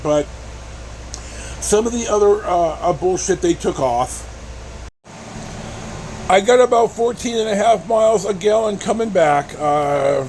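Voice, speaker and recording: Middle-aged American male vlogger, high register, blunt halting rambler, light cabin noise behind. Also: low-quality recording. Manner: halting, blunt, mildly profane. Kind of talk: casual rambling storytelling. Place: inside a pickup truck on the road. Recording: steady vehicle cabin noise.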